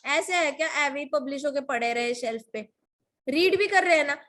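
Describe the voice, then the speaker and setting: A woman's voice: high-pitched, moderate pace, fairly bright tone. A woman giving a devotional talk. She mixes English words into her Hindi and keeps asking her listeners rhetorical questions. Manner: emphatic, full of rhetorical questions. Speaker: devotional storyteller.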